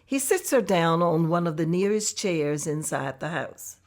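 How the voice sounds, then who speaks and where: Narrator: woman, low and steady, reading scene directions. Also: measured pace.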